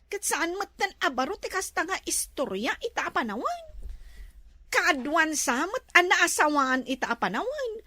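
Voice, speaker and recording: Chatty Ilocano woman neighbour actress, high swooping voice, teasing comedy delivery. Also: big pitch swings, normal speed, pushed and bright, dry recording, full character voice. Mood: teasing, surprised. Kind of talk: Ilocano comedy drama acting.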